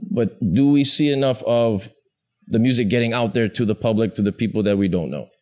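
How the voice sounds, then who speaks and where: A man's voice: fairly low pitch, a little fast. Chatty male podcast host. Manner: relaxed, chatty, agreeable with frequent affirmations. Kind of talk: casual interview.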